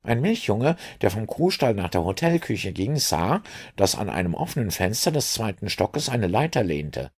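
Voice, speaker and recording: A man reading an audiobook, voicing an agitated police inspector in a husky, animated voice. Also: fairly low pitch, moderate pace, few pauses, pitched above the performer's own voice, full character voice. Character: gruff, agitated middle-aged male police inspector.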